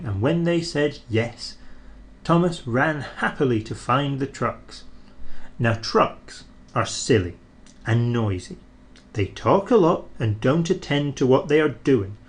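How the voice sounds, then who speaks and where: Man reading a kids story out loud, lively up and down voice, takes his time. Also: mid-range voice. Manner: expressive, measured. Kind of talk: storybook read aloud.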